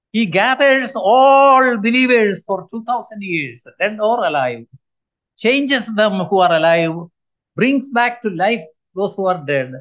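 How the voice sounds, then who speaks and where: Old guy in his 70s preaching, kinda high voice, earnest, lots of pauses. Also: fairly bright tone, low-quality recording. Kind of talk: sermon.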